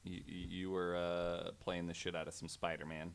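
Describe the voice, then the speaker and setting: A man with a very low voice, chatting casually on a podcast. He rambles along with enthusiasm, sprinkling in profanity as he builds his point. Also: completely flat delivery, moderate pace, vocal fry, smooth. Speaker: podcast co-host. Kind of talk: casual chat.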